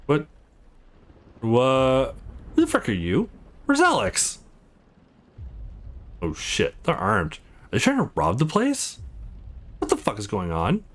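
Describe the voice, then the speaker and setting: A man's voice: mid-range voice, wildly swooping pitch, takes it slowly, pauses often, heavily acted. A man voicing a defiant but rattled young male hero in a visual novel, blunt and agitated. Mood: shocked.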